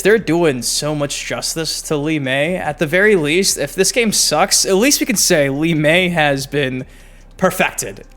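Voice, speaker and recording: Male gaming video host, slightly high voice, informal and slangy, an enthusiastic fan delivering casual news commentary. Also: fairly bright tone.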